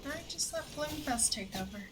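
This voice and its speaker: A woman's voice: high-pitched, animated, brisk, background noise. A young woman giving casual live commentary as she streams a video game.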